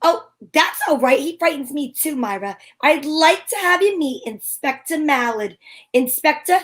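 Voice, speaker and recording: A woman's voice: high-pitched, animated, unhurried. A woman playing a feisty, wry heroine in an old-time radio show, with sassy, theatrical delivery. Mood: friendly. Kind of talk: hobby old-time radio acting with first-person narration.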